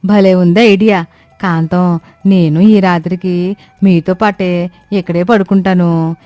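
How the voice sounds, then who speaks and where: A woman voicing an astonished, nosy village neighbour in a cartoon story, with drawn-out exclamations and questions. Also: lowish voice, fairly even intonation, warm tone, no echo, soft background music. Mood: delighted. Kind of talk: Telugu comic cartoon story acting.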